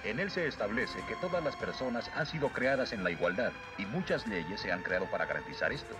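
A man's voice: fairly low pitch, expressive, fairly quick, lightly acted. A man voicing a brave, earnest young prince in a Latin American Spanish cartoon dub, declamatory and confident. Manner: declamatory, resolute. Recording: loud music behind the voice.

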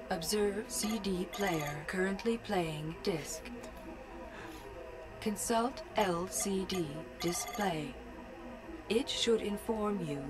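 Audio game part: female computer, lowish and slow, impersonal clipped instructions, music underneath. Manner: measured, impersonal, clipped commands. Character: cool female interface computer of covert agency.

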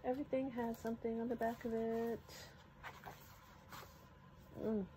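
Female craft vlogger, unhurried and informal, thinks out loud; noticeable noise behind her. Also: fairly high pitch, level tone. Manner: chatty, musing aloud to herself. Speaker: crafter showing a product haul. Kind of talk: casual unboxing commentary. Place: indoors at a craft table.

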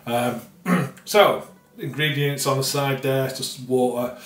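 A man's voice: medium pitch, slow. A middle-aged man casually reviewing a beer at home in his kitchen, rambling with many fillers and repeated words. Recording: roomy, slightly distant sound with faint music.

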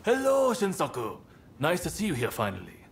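A young samurai leader in a game, courteous and composed, really expressive pitch, earnest, bit of background noise. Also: male voice, creaky, full character voice. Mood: urgent, businesslike. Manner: polite, measured, earnest. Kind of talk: video game cutscene acting.

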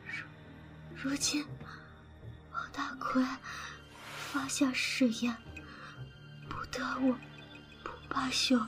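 An actress voicing a wounded young woman on the run, talking in a weak, halting whisper in an audio drama. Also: high voice, flat delivery, music in the background. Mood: weak, pleading. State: weak and injured, breathy.

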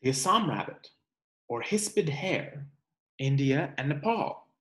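A man in his thirties, a teacher reading a picture book aloud to children in measured, clear phrases at home. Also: fairly high pitch, expressive, fairly bright tone. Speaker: teacher reading aloud to third-grade class. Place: room at home.

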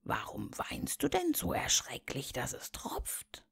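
A woman voicing a small bearded gnome in a fairy tale, solemn, deliberate and self-important. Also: extremely low pitch, huge pitch swings, gravelly, a bit bright, husky, no room sound, in a whisper, clean studio sound, voice pushed down, full character voice. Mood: concerned. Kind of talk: fairy-tale storybook character voice.

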